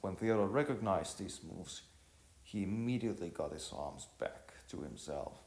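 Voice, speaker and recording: A man's voice: low-pitched, expressive, normal speed, a little mellow. A man reading a scripted story as narrator, often stumbling and repeating phrases as he goes. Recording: roomy echo, clear music bed. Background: music.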